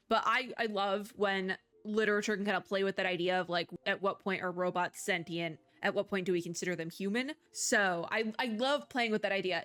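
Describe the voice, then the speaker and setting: A woman's voice: medium pitch, a bit bright. A woman talking casually and off the cuff as a video game host, in a chatty, rambling, informal way. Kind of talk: casual unscripted game commentary.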